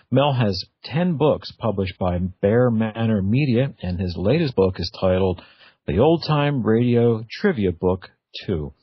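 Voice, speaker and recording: A man's voice: medium pitch, slightly rough. A man from the United States hosting a radio show, introducing and interviewing a guest. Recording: slightly dull, lo-fi audio.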